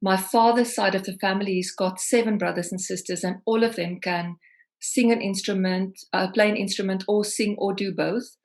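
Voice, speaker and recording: A woman's voice: mid-range voice, steady tone, moderate pace. A middle-aged South African woman, a singer-songwriter, talking reflectively over a video call with many ums. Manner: warm, reflective, frequent ums.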